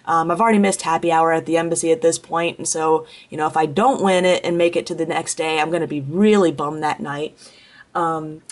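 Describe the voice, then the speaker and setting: A young woman with a head cold and a congested voice, chatting casually through a tournament recap, full of ums and self-corrections. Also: lowish voice. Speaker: card game player recapping a tournament. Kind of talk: casual spoken tournament report.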